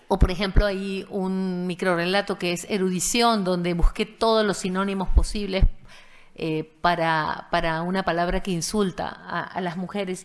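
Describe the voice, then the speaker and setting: A middle-aged woman from Venezuela with a mixed, unplaceable accent, talking thoughtfully about her writing on a panel, with many fillers and restarts. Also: on the low side. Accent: none fixed, mixed Venezuelan and Peruvian. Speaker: guest writer.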